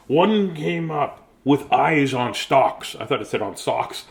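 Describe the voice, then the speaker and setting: Animated man reading a gravelly bedtime story. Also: fairly high pitch, a little hiss or hum. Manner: silly, jokey, sing-song reading cadence. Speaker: storyteller and host. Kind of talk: playful bedtime story reading with joking asides.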